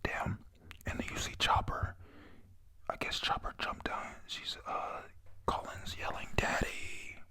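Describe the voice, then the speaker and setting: A breathy, whispered voice reading a comic chapter aloud and recapping it, halting over lines and adding casual, sweary, excitable asides. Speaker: narrator recapping a manga story.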